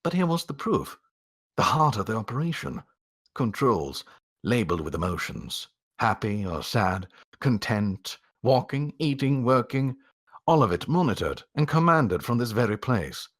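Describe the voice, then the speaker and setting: A man reading a story aloud as a theatrical narrator, drawing out words for emphasis and pausing for drama. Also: medium pitch, sing-song.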